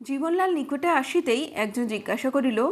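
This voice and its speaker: A woman's voice: high voice, animated, normal speed. A woman reading a literary detective story aloud as scripted audiobook narration.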